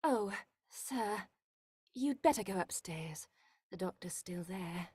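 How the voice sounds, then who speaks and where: A woman's voice: medium pitch, expressive, takes it slowly, darkish tone, slightly rough, no room sound, clean studio sound. A woman playing a fretful, deferential household servant, theatrical, in an old-time radio horror play. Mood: distraught.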